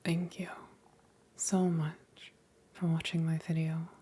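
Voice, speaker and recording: Softly whispering woman, very breathy. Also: sits low, level tone, takes it slowly. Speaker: ASMR creator. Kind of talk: whispered thanks to viewers.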